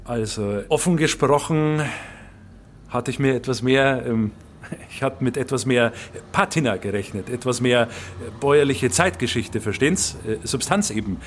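A man's voice: mid-range voice, normal speed, a little hiss or hum, lightly acted. A man playing a pompous, fussy heritage official who talks in stilted, hesitant, bookish officialese in a drama. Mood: disappointed.

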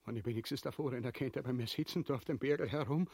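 A man's voice: medium pitch, fast, very noisy, close to an everyday voice. An actor playing a vulgar, cowardly, bombastic king in a grotesque comedy, blustering and whining with an Austrian accent. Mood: panicky muttering. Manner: blustering, whining, swooping between mutters and bellows. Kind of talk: grotesque comic radio-play acting.

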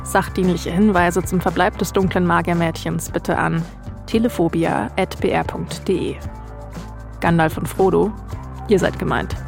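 A woman's voice: lowish voice, steady tone, normal speed, gravelly, faint music behind. A woman, hosting a podcast and narrating from a script. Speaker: podcast host.

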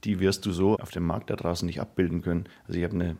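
A man's voice: low voice, steady tone, fast talker, mellow. A man in his forties from Germany, a collector talking casually and colloquially, circling back over his phrases. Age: 40s. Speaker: fan and collector being interviewed. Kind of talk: casual spontaneous talk.

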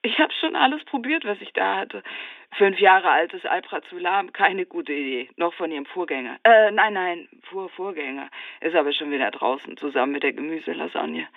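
An actress playing a panicked, sleepless patient who stammers and repeats herself while pleading on a doctor's voicemail, through a telephone filter. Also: female voice, fairly low pitch, normal speed, creaky, no echo. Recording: tinny narrow phone line, dry.

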